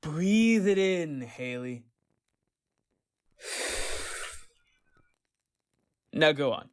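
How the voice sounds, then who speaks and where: A man's voice: fairly high pitch, animated, slow pace, smooth, no room sound, clean studio sound. Man acting a sinister corporate interviewer, swooping intonation. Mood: coaxing. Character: smooth, sinister male corporate interviewer, eerily welcoming. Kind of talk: radio play acting.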